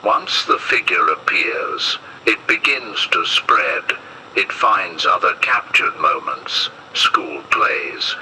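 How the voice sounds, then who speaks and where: A man delivers an ominous scripted horror warning like an official broadcast. His voice is very forceful and bright-edged, and he takes his time, slow and grave. Faint noise sits behind him. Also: deep voice, poor audio.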